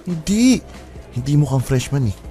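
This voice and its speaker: Gossipy joker college bestie, bouncy highish voice, slangy, radio drama. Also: male voice, normal speed, faint music behind. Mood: eager, gossipy. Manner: animated, slangy, teasing. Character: excitable, gossipy, joking male college best friend. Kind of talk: Filipino radio drama acting.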